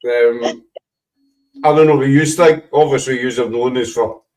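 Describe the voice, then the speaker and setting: Glaswegian male host, relaxed chatter, sits a little back from the mic. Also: mid-range voice, unhurried, far from the mic, rough recording. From Scotland. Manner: chatty, laughs easily. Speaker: podcast host. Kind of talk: casual chat.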